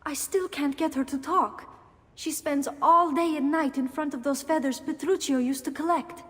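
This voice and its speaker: A woman's voice: sits high, steady tone, moderate pace, close to an everyday voice. An actress playing a worried young noblewoman with an Italian accent in a video game drama. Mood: worried, sad. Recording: long hall reverb.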